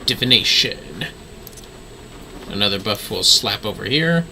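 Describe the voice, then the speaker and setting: A man's voice: on the low side, lively intonation, measured pace, vocal fry, pushed and bright, slight background noise. A man livestreaming a game with casual, playful commentary, often talking to himself and muttering skill names.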